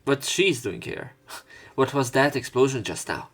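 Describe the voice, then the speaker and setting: A man's voice: mid-range voice, animated, a little slow. A man doing a casual let's play of a visual novel, reading the story aloud with rambling, conversational asides.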